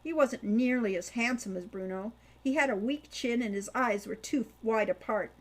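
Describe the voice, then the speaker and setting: A woman's voice: fairly high pitch, normal speed, low-quality recording. A woman reading scripted fiction aloud as an audiobook narrator.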